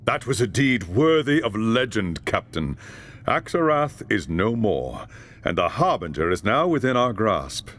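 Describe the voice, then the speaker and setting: Grave, commanding mage with expressive pitch. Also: male voice. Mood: urgent, commanding. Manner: solemn, urgent, measured. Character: grave, commanding male high lore master mage. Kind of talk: dramatic video game cutscene acting.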